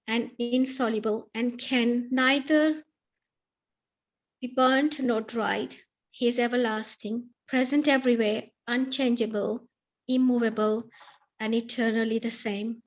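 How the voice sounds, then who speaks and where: A woman with a somewhat high voice reads translated verses in steady, measured phrases. The sound is dull and lo-fi, like a video call. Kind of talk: reading scripture translations aloud.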